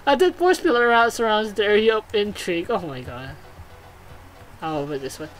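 A woman's voice: medium pitch, expressive, moderate pace, soft background music, low-quality recording. A woman hosting a let's play, reading game dialogue aloud and reacting casually. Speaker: gaming video host.

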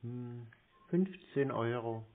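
A man on a prank phone call, answering tersely and deadpan, feigning slowness as a customer. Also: mid-range voice. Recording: muffled narrow phone-line sound.

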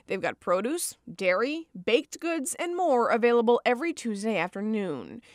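A bright, upbeat young woman reporting for local TV, her voice rising and falling with lots of movement. The sound is close and dry, with little room around it. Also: a little high, very animated, dry recording. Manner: bubbly, upbeat, quick reactions. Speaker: field reporter. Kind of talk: TV feature reporting. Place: outdoor farmers market.

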